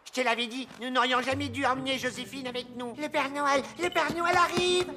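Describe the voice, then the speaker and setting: A woman's voice: high-pitched, fairly even intonation, a little fast, close to an everyday voice. A woman voicing a fretful, bossy little Christmas elf, fussy and anxious, in a French children's cartoon.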